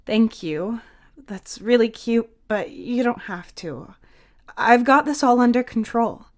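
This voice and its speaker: A woman's voice: a little high, expressive, takes it slowly, voice pushed up. Casting: woman as flustered young woman under strain, sarcastic and defensive, blurting delivery, audio drama. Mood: weary, sarcastic.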